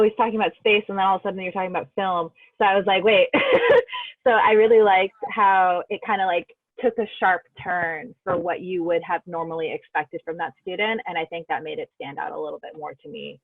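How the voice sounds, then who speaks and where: A student talks on a tinny, phone-like line with a dry sound. She is chatty and fills her talk with "like" and "um". Her voice sits at a middle pitch. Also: steady tone, a little fast, dry recording. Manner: chatty, lots of "like" and "um". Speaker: student participant. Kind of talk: casual chat in an online workshop. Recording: tinny dry call audio.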